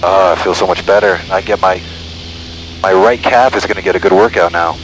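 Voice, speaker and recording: A man's voice: low voice, a little fast, low-quality recording. A man flying a small plane, chatting casually and talking himself through each task over a headset. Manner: talks self through tasks. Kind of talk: casual cockpit chat, narrating own flying. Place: small propeller plane cockpit in flight. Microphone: aviation headset. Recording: loud steady aircraft cabin noise.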